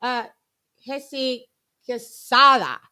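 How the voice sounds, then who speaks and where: A British woman hosting a live boxing stream, chatting casually in an effusive, slangy way with shout-outs. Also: high-pitched, very slow, clear, resonant tone.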